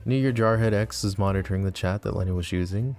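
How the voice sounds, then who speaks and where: Casting: a man to play a scheming, possessive yandere mafia boss for ASMR roleplay; dark, relaxed low voice, smooth and teasing. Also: fast talker, soft background music, natural voice. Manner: smooth, teasing, mock-polite. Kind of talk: intimate ASMR roleplay acting.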